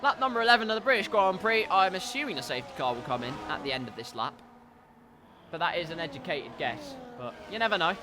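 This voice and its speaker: A man with a stuffy, congested nose commentating a racing league, with wry asides that turn loud and excited when cars crash. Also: a little high, quick pace, noisy background.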